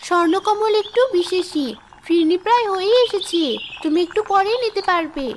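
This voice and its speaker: A woman's voice: extremely high pitch, moderate pace, a little hiss or hum. A woman voicing a kind, hardworking young village girl in a cartoon, earnest and sweet. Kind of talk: children's cartoon voice acting.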